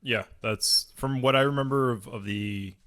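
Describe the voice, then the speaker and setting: A man's voice: mid-range voice, normal speed, fairly bright tone. A man co-hosting a podcast in casual, joking chat with friends. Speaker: podcast co-host.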